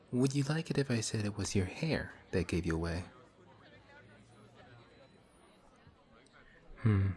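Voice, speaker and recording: An actor playing a courteous, formal villain who is sly and quietly taunting, in a one-sided audio roleplay. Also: male voice, fairly low pitch, animated, normal speed, lightly acted. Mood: sly menacing. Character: suave, polite male villain in civilian clothes. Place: coffee shop scene with faint café chatter.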